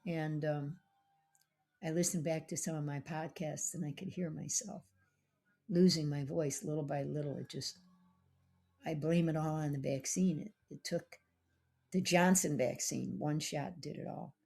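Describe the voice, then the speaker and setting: A woman doctor talks alone into her podcast mic in a loose, rambling monologue. Her voice sits low and carries a gravelly edge throughout. She keeps urging listeners to reach out and join in. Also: fairly even intonation, measured pace, mellow. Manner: rambling, chatty, coaxing listeners to call and text. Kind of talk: casual solo podcast monologue.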